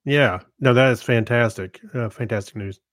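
A man's voice: medium pitch, moderate pace, fairly bright tone, dry recording, studio quality. A man co-hosting a podcast, offering casual conversational commentary in a folksy, wry way. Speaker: podcast co-host.

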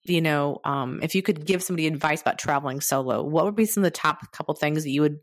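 A woman's voice: deep voice, fast, dry recording, clean studio sound. A woman hosting a podcast, asking rambling, friendly questions with lots of "like" fillers. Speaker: podcast host. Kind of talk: casual interview chat.

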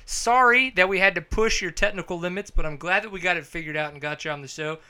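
A male podcast host with a bright, forceful voice that sits a little high. He talks loud and jokey, and his sponsor plugs come out in bursts of energy. The tone is clear and crisp. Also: fast talker. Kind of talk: casual podcast chat with ad reads.

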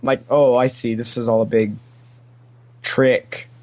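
A man's voice: mid-range voice, slow pace, highs cut off. Opinionated, joking man in casual chat. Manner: opinionated, animated when quoting, sarcastic joking. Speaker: podcast guest.

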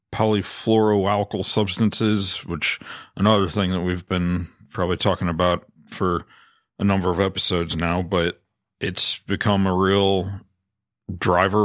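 Low-pitched man, dry close sound. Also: fairly bright tone, dry recording. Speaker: podcast co-host presenting industry news. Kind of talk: casual industry news discussion.

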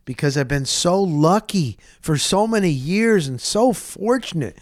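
A man's voice: fairly high pitch, expressive, a little slow, no room sound, clean studio sound. A middle-aged man hosting a show, talking informally and reflectively in loose, rambling stories. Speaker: interview show host. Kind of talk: casual, reflective monologue and interview chat.

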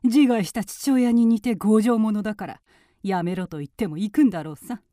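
A woman's voice: medium pitch, normal speed, mellow, slight background noise, lightly acted. A woman playing a spiteful, suspicious middle-aged aunt in a period radio drama, with a haughty, sneering, commanding manner. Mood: scornful, suspicious. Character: spiteful, suspicious aunt and mistress of a village household.

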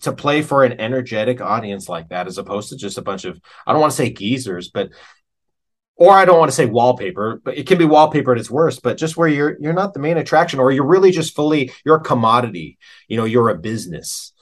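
A man's voice: mid-range voice, animated, fast. Casual American man, candid interview guest. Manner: informal and candid, with frequent "man" and "you know". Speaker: interview guest, working keyboard musician. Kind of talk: casual, reflective interview talk.

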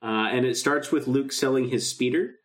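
A man's voice: mid-range voice, moderate pace. A man co-hosting a podcast in casual, informal chat, talking with enthusiasm. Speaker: podcast co-host. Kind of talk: casual podcast chat about a radio drama.

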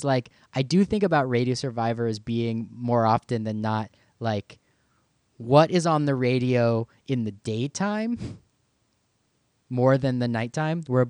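A man's voice: medium pitch, a little mellow, dry recording, clean studio sound. A man co-hosting a radio show, talking in a conversational discussion style.

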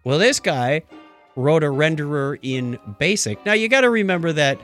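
Animated middle-aged man hosting a podcast. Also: on the high side, moderate pace, faint music behind. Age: middle-aged or older. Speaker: podcast host. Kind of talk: casual tech talk show chat.

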